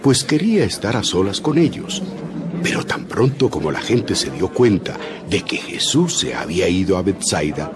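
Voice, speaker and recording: A man narrating a dramatized audio Bible from a script, with expressive storytelling emphasis. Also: low-pitched, normal speed, crowd noise. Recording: loud background music. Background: music.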